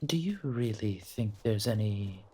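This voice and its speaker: A man voicing a playful, possessive forest monster in a horror audio roleplay, teasing with drawn-out menace in a husky, breathy voice. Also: lowish voice, animated, warm tone. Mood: amused menace. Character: taunting male forest monster hunting its prey, possessive, playful.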